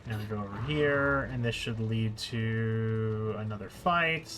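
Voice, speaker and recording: A man's voice: fairly low pitch, a little slow. A man giving live video game commentary, thinking aloud and exclaiming in frustration as he plays. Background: video game music.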